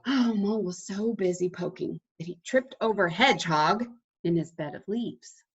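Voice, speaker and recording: A woman reading a children's storybook aloud in an animated, dramatic way, with a husky voice. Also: mid-range voice, normal speed, muffled recording.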